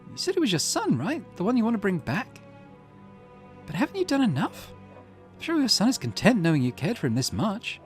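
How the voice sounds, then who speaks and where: A brash young woman, played by a man, pitched high and swooping wildly. She is a visual novel character who taunts her rivals and presses them bluntly with pointed questions. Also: wildly swooping pitch, a little fast, soft background music. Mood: blunt, challenging.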